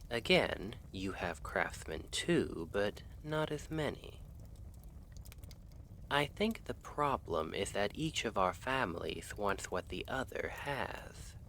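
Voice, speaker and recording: A man playing an earnest, lovestruck assassin from a noble house in an ASMR roleplay, speaking in measured, formal, hesitant phrases. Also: lowish voice, expressive, a bit bright, slight background noise, exaggerated voice. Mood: earnest, cautious.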